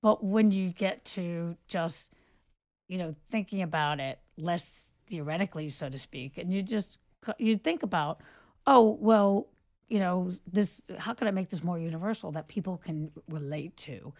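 A middle-aged woman, a photographer and filmmaker, telling long stories in a relaxed interview, rambling with many restarts and "you know"s. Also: on the low side, moderate pace. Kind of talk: relaxed conversational storytelling.

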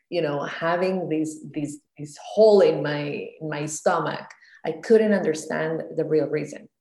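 A woman from Costa Rica, a guest speaking reflectively about her past in a relaxed interview. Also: low voice. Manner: reflective, frequent "let's say" and "like" fillers. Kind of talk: casual interview conversation. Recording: echoey room.

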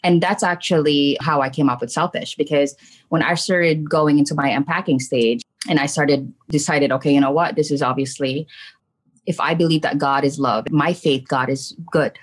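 Low-pitched middle-aged woman, candid storyteller. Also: steady tone, moderate pace, breathy edge. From Philippines. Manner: candid, emphatic, often checks in with "right" and "you know". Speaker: podcast guest, trauma coach and spiritual medium. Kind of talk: casual personal storytelling in an interview.

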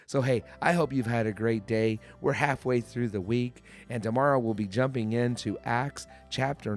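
A man with a hoarse voice from a cold, hosting a devotional podcast in an earnest, encouraging way and often repeating his phrases. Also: medium pitch. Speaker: podcast host.

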